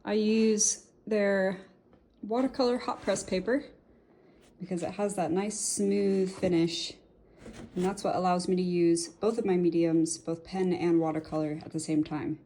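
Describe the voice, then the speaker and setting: A woman's voice: on the low side, steady tone, a little slow, dark tone, a little hiss or hum. A young woman from California with an American accent, an artist giving a friendly, conversational sponsored testimonial with occasional fillers.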